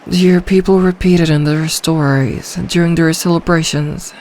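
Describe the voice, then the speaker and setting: A woman's voice: sits low, steady tone, darkish tone, slight background noise, close to an everyday voice, poor audio. A woman voicing an ancient, lonely, possessive sea dragon in human form, in a brooding, formal ASMR fantasy roleplay. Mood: bitter, musing. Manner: slow, storybook-formal, brooding, lapses into breathy whispers.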